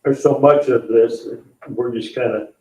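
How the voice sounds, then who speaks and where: A man speaking in a measured, pragmatic way during an informal meeting discussion. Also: mid-range voice, steady tone, darkish tone. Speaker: meeting participant. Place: indoor public meeting room. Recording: dull, echoey room sound, distant mic.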